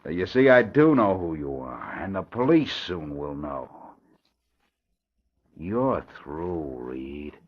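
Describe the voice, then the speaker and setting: A man's voice: a bass voice, big pitch swings, slow, husky, exaggerated voice. Smug male racketeer for vintage radio crime play, drawling and scheming, muffled archive sound. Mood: smug, confident. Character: smug scheming male nightclub owner, a blackmailing killer. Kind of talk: 1940s radio acting. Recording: dull old radio recording.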